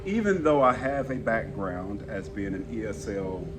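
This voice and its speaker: A man's voice: lowish voice, big pitch swings, moderate pace, background noise. A man working as a communication coach, a guest in an interview who speaks emphatically and gives frequent affirmations.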